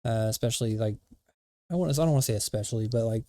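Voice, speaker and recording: A man's voice: on the low side, racing, mellow, dry recording, clean studio sound. A man giving casual reaction commentary, blunt and profane, ranting with frequent fillers. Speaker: streamer reacting to a video.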